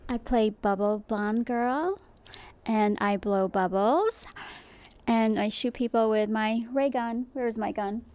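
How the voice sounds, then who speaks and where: A woman's voice: a little high, fairly even intonation, takes it slowly, no echo, dull audio. Woman giving short, easygoing answers. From born in Portugal, raised in Connecticut. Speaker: actress. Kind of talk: casual interview on set.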